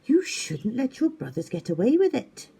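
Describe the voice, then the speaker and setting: A woman's voice: fairly high pitch, sing-song, moderate pace, vocal fry, dark tone, slightly rough, voice pushed up, heavily acted. A woman voicing a kindly, encouraging female woodland animal in a storybook, reassuring and full of advice. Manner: reassuring, advising.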